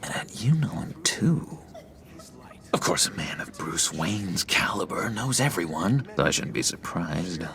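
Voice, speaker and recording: An actor playing a needy, awkward, unsettling man in a video game, halting, breathy and ingratiating. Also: low voice, very animated, fairly bright tone, full character voice. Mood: pleading, uneasy. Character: needy, unsettling, awkward man fawning over his friend.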